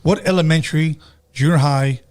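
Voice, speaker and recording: A man's voice: on the high side, moderate pace. A man in his fifties with a Chicano Californian accent, a native Spanish speaker, hosting a casual interview in blunt, slangy talk with bits of Spanish. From California, United States. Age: 50s. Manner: blunt, informal, sprinkles in Spanish. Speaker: radio podcast host.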